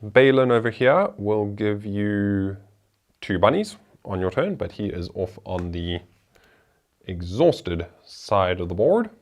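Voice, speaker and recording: A man's voice: on the low side, lively intonation, slow. A man hosting a board game show, talking through the rules and each move of a solo playthrough in a chatty way.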